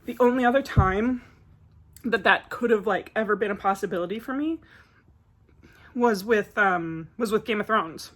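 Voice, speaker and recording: A woman's voice: medium pitch, moderate pace, pauses often, a bit bright. A woman getting over a cold, chatting casually about books in a vlog, rambling with many filler words. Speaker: book vlogger. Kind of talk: casual book review vlog.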